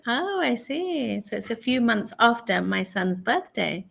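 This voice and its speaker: Woman, 40s, online conversation tutor, bright high register, patient and encouraging. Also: very animated, a little slow, creaky. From England. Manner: patient, encouraging, repeats the learner's answers back. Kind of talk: casual conversation lesson.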